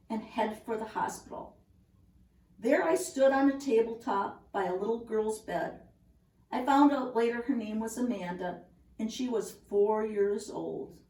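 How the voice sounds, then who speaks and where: A woman reads a children's book aloud at a very unhurried, deliberate pace. Her voice sits fairly high. It is recorded in a reverberant room at home, some distance from the microphone. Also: a little mellow. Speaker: storybook reader. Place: indoors at home.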